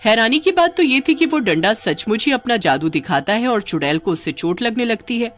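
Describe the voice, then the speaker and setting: A woman telling a scripted story as narrator, in an expressive storytelling style. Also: on the high side, brisk, soft background music.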